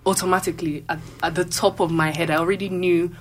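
A young woman from Nigeria talks in a low, relaxed register, looping through "like" and restarting her thoughts as she chats on a student radio show. A faint hum sits under her voice. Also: quick pace. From Nigeria (Lagos). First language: Igbo. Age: young adult. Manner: rambling, filler-heavy with lots of "like". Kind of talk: casual chat.

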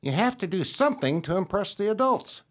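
A man's voice: high-pitched, sing-song, fairly quick. A man playing a frank, friendly, streetwise dog with a folksy manner in a radio play. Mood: frank, advising. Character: streetwise, frank, friendly older-hand dog giving advice.

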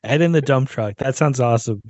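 A man's voice: medium pitch, a little fast, a little mellow, dry recording, muffled recording. A man co-hosting a podcast and chatting casually. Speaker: podcast co-host. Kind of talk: casual chat.

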